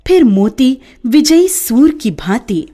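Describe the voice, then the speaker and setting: Slow, lilting woman storyteller. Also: high voice, big pitch swings, dark tone. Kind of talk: scripted storybook narration.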